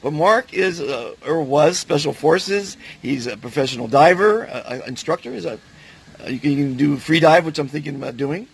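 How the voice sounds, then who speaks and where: A man talking casually and enthusiastically to his camera outdoors, his voice going hoarse from overuse. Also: on the high side, expressive, normal speed. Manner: upbeat, enthusiastic. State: losing his voice. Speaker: vlogger. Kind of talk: casual vlog chat. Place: outdoors at a resort, windy.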